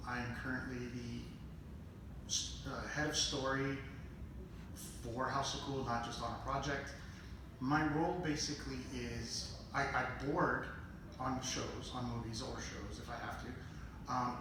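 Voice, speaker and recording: A man's voice: mid-range voice, noisy background. Man, panel speaker, joking and loose, distant mic, big reverberant hall. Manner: loose, self-deprecating, joking. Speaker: head of story at an animation studio. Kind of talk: panel introduction. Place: conference hall. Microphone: handheld mic heard from a distance.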